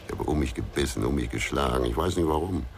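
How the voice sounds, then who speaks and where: Gruff investigator, extremely low gravelly voice, brooding and terse, radio crime drama with some background noise. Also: male voice, quick pace. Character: gruff, brooding male investigator, haunted by a lonely childhood.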